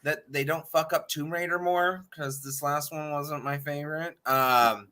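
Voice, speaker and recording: A man's voice: fairly high pitch, fairly even intonation, a little slow, bright, forceful tone, slight background noise. An American man giving emphatic, opinionated takes in casual panel chat, swearing freely. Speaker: podcast panel guest.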